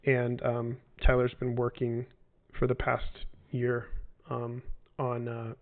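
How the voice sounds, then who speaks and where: A man in his forties chatting loosely through fan questions, his voice gravelly and flat in tone. He wanders and restarts, leaning on fillers. The audio is tinny, like a phone line. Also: medium pitch, slow. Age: 40s. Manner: rambling, hesitant with many fillers and restarts. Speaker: game developer answering fan questions. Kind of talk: casual livestream Q&A.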